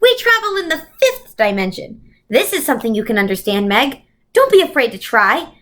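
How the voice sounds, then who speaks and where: A woman voicing a gentle, reassuring winged female guide from another world in a children's storybook, patient and encouraging. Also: fairly high pitch, big pitch swings, a little fast. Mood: patient explaining.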